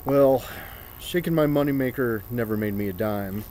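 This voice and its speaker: A man in his thirties with a soft, dark tone recites in a mock-dramatic style. His phrasing is theatrical, stretched out and broken by deliberate pauses. He is recorded outdoors on a camera, with some noise behind him. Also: medium pitch. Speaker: performer. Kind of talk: mock-dramatic reading of song lyrics. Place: outdoors in a snowy residential yard.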